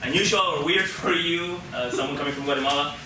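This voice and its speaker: Guy from peru hosting a live interview, kinda high voice, super echoey far mic, halting casual questions. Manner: halting, informal, with repeated words. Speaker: host interviewing a guest on stage. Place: live show venue with an audience of about fifty. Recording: roomy echo, distant mic, loud background noise.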